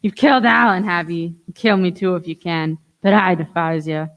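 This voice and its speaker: A woman's voice: fairly low pitch, moderate pace, dull audio, natural voice. Woman playing a defiant, loyal sailor. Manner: rustic seafaring speech. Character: loyal, defiant sailor man facing death. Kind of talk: radio play acting.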